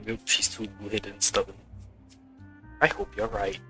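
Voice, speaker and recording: A man's voice: fairly low pitch, expressive, moderate pace, sharp tone, faint music behind. A man doing casual game-stream commentary, reading along and tossing in wry, joking asides. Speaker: livestreamer.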